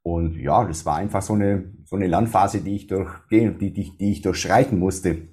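A man with a deep bass-baritone voice and a faint Swabian accent, talking in an interview in a measured way with many restarts. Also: warm tone. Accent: slight Swabian. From Swabia, Germany. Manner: measured, with frequent restarts and fillers. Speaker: funeral speaker. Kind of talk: casual interview talk.

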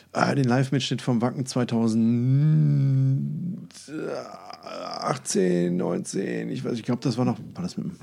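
A man's voice: medium pitch, gravelly, mellow. A man co-hosting a casual music podcast, colloquial and hesitant, often correcting himself as he goes. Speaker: podcast co-host.

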